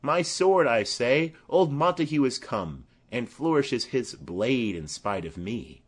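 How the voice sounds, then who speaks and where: A man's voice: mid-range voice, expressive, slow. A man playing a blustering, indignant old lord in a Shakespearean audiobook. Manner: loud, indignant. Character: blustering old lord calling for his sword.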